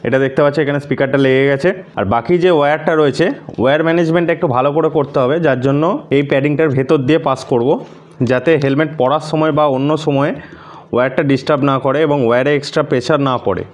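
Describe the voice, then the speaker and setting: A man narrating a casual step-by-step tutorial, explaining things and mixing in English words. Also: a little high. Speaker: host of a motorcycle gear tutorial.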